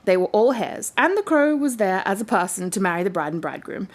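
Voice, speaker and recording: A woman's voice: mid-range voice, sing-song, fairly quick. A woman with a playful, giggly manner, hosting a story-reading channel in a casual storytelling style.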